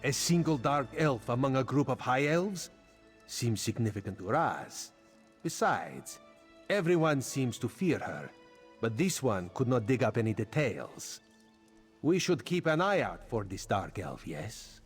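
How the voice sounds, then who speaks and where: Sneaky friendly cat man agent for a fantasy game, really animated pitch, bit of music under it. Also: measured pace. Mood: conspiratorial. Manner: speaks of himself in third person, playful. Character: sly, friendly male cat-folk agent. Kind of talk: video game character dialogue.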